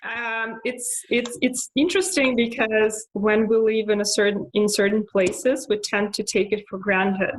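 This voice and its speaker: A young woman from Russia with a Russian accent, talking casually about her life, with frequent fillers, restarts and soft laughs. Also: mid-range voice, little pitch movement, measured pace, few pauses, poor audio. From Russia (Moscow). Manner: hesitant, many fillers and restarts; laughs softly now and then. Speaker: interviewee. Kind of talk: casual personal monologue.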